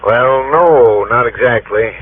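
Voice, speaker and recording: A man's voice: mid-range voice, takes it slowly, lightly acted. A man acting a plain-spoken, wary American motel keeper from desert country in a vintage radio drama. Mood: grim, halting. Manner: laconic, colloquial, straight-talking. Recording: tinny, noisy old radio recording.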